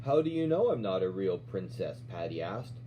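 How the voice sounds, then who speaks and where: Middle-aged man, lowish mellow voice, storybook read-aloud, questioning asides, dull recording with faint hum. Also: sing-song, normal speed. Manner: checks in with questions, often ending "right?". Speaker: martial arts instructor reading to children.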